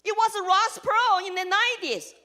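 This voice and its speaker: Woman w chinese accent giving a campaign speech, high voice, earnest and punchy, short phrases. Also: normal speed, bright, forceful tone. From China. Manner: earnest, emphatic, lists points in short phrases. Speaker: congressional candidate. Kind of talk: campaign speech to a live audience.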